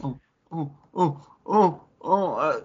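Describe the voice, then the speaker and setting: A man's voice: on the high side, expressive, takes it slowly, darkish tone, slightly rough, lo-fi sound. A man in his thirties co-hosting a podcast in loose, casual chat. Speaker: podcast co-host.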